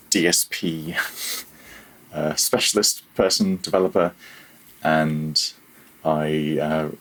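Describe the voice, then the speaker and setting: A man chatting casually as an interview guest, with a halting, hesitant delivery. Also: a bass voice. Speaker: interview guest, audio developer.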